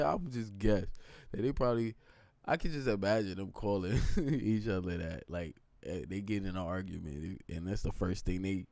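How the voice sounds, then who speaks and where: Deep, mellow-voiced man, rambling casually. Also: no room sound. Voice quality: deep, low voice. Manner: informal, conversational, often checks in with listeners. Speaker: live streamer. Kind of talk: casual rambling monologue to a stream audience.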